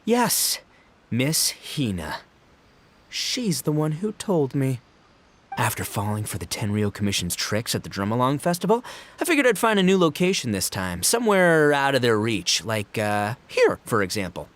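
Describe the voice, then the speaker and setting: Young male game gang boss: boisterous, slangy, lovably dim, very lively intonation. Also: medium pitch, normal speed. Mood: explaining, dramatic. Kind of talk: video game cutscene acting.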